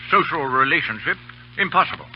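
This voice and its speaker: An actor in an old radio drama playing a stern, middle-aged British colonel, aloof and clipped in manner. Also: male voice, a little high, lively intonation, fairly quick. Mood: gruff, awkward. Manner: clipped, gruff, commanding. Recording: muffled old radio broadcast.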